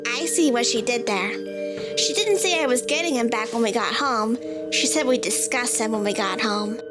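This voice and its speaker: High-voiced woman narrating as a child, over music. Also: moderate pace. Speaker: story narrator. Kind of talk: first-person scripted story narration as a child. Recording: loud music bed under the voice.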